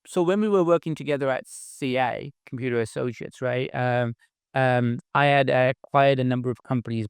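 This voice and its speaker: A middle-aged man with a Birmingham accent talks through his story in a relaxed, chatty way, often tagging his sentences with a questioning aside. His voice is smooth and clear, and it is recorded close and dry. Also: medium pitch, no echo. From England. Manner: chatty, frequent "right?" tags and light laughs. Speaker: startup founder, guest. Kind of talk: casual podcast interview.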